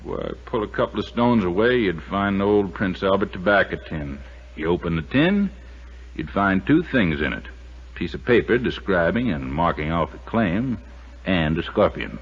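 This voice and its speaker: An actor playing a savvy, folksy American desert guide in a 1950s radio drama, telling things in an unflappable, colloquial way. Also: male voice, low-pitched, expressive, breathy edge, full character voice. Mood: yarn-spinning. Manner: folksy, colloquial, drawn-out storytelling. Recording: muffled vintage radio recording with faint hiss.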